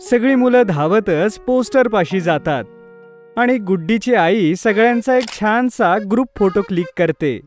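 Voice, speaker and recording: A woman narrating a children's cartoon story in a gentle, even storytelling manner. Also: mid-range voice, unhurried, faint music behind.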